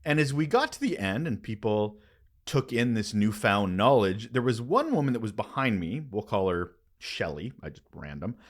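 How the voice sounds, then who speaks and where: A man hosting a podcast, delivering a conversational scripted monologue with emphatic, expressive phrasing and dramatic pauses. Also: fairly low pitch. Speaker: podcast host.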